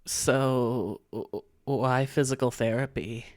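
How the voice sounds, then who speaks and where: An actor playing a nervous, gentle young male student in an audio drama, stammering timidly and hesitantly. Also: mid-range voice, steady tone, takes it slowly, no room sound, studio quality, close to an everyday voice. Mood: tentative. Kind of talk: audio drama acting of fan fiction.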